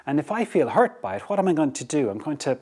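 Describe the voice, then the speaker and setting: A man's voice: on the high side, big pitch swings, quick pace, darkish tone. Irish man giving an earnest leadership talk. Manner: earnest, repeats words, checks in with "okay?". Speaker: podcast host.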